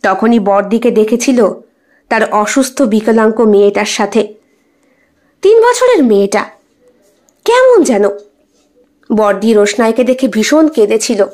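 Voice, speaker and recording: A woman's voice: a little high, expressive, unhurried, pauses often, darkish tone. A woman reading a scripted suspense story in a dramatic storytelling style. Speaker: audio story narrator.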